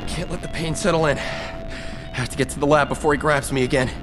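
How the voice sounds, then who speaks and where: An actor playing an earnest, anxious, quippy young superhero student in an audio drama. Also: male voice, a little high, steady tone, fairly quick, over loud music, natural voice. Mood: tense, quippy. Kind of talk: audio drama acting with inner monologue.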